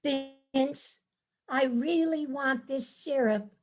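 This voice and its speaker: Woman acting high-voiced, wide-eyed tourist wife. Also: extremely slow, a little mellow. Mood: delighted. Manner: eager, wide-eyed, chirpy. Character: naive, cheerfully enthusiastic tourist wife, easily delighted. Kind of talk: amateur staged play reading.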